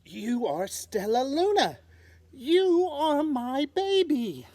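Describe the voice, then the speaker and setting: Storybook bat mother, adult female, loving and reassuring, slow and very expressive voiced by a reader. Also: high-pitched, dry recording, pitched above the performer's own voice, exaggerated voice. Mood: tender. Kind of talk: children's storybook read-aloud.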